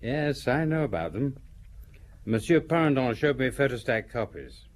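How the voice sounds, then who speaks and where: An actor in an audio drama playing a guarded, prickly legal researcher who speaks politely, formally and defensively. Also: male voice, medium pitch, sing-song, moderate pace, a little hiss or hum, lightly acted. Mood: polite, brisk.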